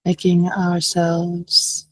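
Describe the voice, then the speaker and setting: A woman leading a guided meditation as a teacher, speaking gently and unhurriedly with long pauses. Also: fairly low pitch, monotone, breathy edge, no echo, low-quality recording.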